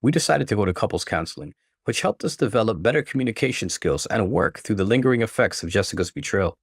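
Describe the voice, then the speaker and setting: Low-voiced, animated male story narrator. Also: fast, husky, no room sound, studio quality. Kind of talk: dramatic first-person story reading.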